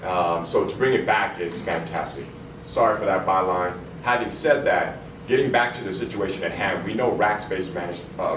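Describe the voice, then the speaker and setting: Brief: very low-voiced man from San Antonio, big forceful delivery, echoey meeting room from far off. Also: noisy background. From San Antonio, Texas. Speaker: community attendee speaking up. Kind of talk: town hall comments. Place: town hall meeting room.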